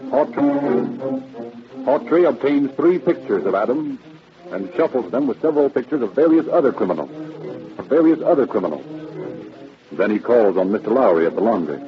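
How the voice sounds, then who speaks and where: A man's voice: medium pitch, expressive, moderate pace, pauses often. A man narrating a crime drama from a script in 1930s radio style. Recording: dull old radio recording with music.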